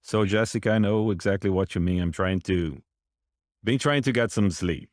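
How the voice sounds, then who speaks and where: Deep-voiced man, relaxed. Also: moderate pace, no room sound, studio quality. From Brazil. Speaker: instructor.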